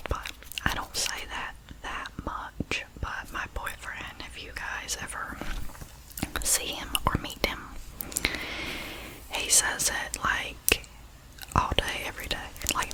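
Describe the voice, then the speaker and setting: Slow whispering Southern woman. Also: rough recording. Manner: soft unvoiced whisper, slow and drawn out. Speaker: YouTuber. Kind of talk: ASMR whispering.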